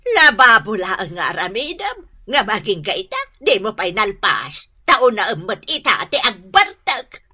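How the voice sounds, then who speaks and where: An actress with an Ilocano accent playing a brisk, firm married laundry shop owner in an emphatic, expressive radio drama style. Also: female voice, medium pitch, slightly rough, heavily acted. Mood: firm, scolding.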